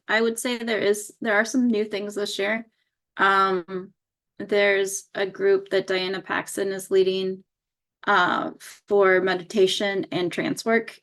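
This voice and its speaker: A woman co-hosting a podcast, speaking in a calm, measured way. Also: medium pitch. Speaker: podcast co-host. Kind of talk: casual podcast chat.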